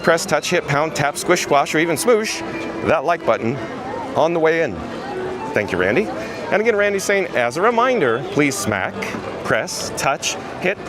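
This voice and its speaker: A man with a slightly hoarse, raspy voice hosts a live outdoor stream, upbeat, greeting viewers by name and reading their chat messages aloud. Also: medium pitch. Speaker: livestream host. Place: outdoors at a busy theme park street at dusk. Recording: crowd and street noise behind. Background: crowd chatter and outdoor noise.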